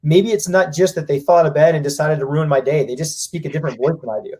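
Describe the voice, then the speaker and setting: A man, a company president, speaking quickly and energetically in a business live-stream discussion, acting out what people say. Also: fairly high pitch, darkish tone, slightly rough.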